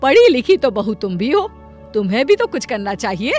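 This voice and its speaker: A woman's voice: fairly high pitch, very animated, moderate pace, a little mellow, soft background music. A woman voicing an older, practical mother-in-law in a cartoon family story, chiding in a matronly way. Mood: nagging. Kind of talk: animated Hindi family story dialogue.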